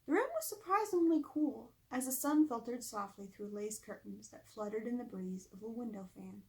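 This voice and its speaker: Expressive higher-voiced woman reading a storybook. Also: normal speed, poor audio. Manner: expressive, chatty asides to listeners. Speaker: author reading own book aloud. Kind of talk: storybook read-aloud narration.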